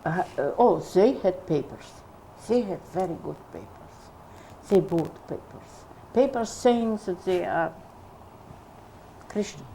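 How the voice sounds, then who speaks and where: An older woman tells her story slowly in an interview. Her voice is mellow and low in brightness. She stops often to search for the right English word, and a faint hiss runs under the recording. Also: expressive, creaky, low-quality recording. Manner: halting, searching for English words. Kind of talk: oral history testimony.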